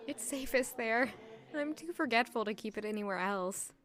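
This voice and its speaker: A woman's voice: fairly high pitch, lively intonation, moderate pace, fairly bright tone. A woman playing a reserved, modest and wistful young palace maid in an audio drama, with a polite, understated way of talking. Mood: friendly, understated. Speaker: narrator and lead character of audio drama. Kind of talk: audio drama acting with first-person narration.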